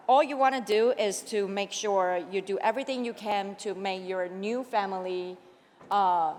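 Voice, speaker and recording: A woman's voice: medium pitch, a little hiss or hum. Taiwanese-accented woman speaking in an echoing hall. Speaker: state senator. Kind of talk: prepared floor speech in a legislature. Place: large indoor legislative chamber. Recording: echoey hall with long reverb.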